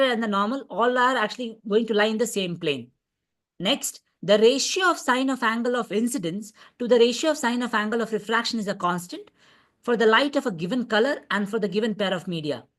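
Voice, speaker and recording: A woman's voice: a little high, fairly bright tone. A woman with an Indian accent lecturing as a physics teacher in an online revision class, repeating points and often checking understanding. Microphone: online video call class.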